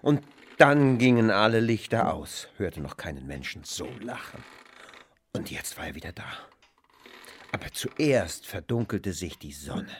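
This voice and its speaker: Radio drama lead: bitter, tormented cripple railing at heaven, slow and declamatory. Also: male voice, lowish voice, very animated, a little hiss or hum. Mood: brooding, sorrowful. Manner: declamatory, brooding, theatrical. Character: bitter, anguished man on crutches, railing against God. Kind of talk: expressionist German radio drama.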